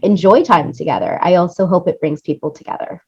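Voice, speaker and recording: Female interview guest, lowish voice, effusive and emphatic with repeated phrases, on slightly muffled lo-fi audio. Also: brisk, gravelly, darkish tone, slightly rough. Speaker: interview guest, music supervisor and producer. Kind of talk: enthusiastic interview conversation.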